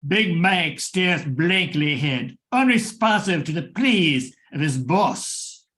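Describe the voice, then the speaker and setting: A man narrates a radio thriller as its host. His voice is bright, forceful and a little high. He reads the script slowly, with theatrical drama. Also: lively intonation.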